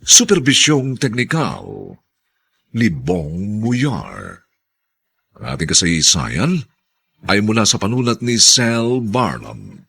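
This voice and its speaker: Husky, theatrical Filipino male announcer. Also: lowish voice, wildly swooping pitch, pushed and bright. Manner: solemn, theatrical, drawn-out emphasis. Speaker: radio drama announcer. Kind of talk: dramatic scripted radio narration.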